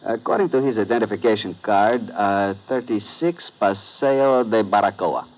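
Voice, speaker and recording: An actor playing a courteous Cuban police lieutenant in a 1950s radio drama, measured and formal. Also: male voice, on the low side, natural voice. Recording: dull old radio broadcast, faint noise.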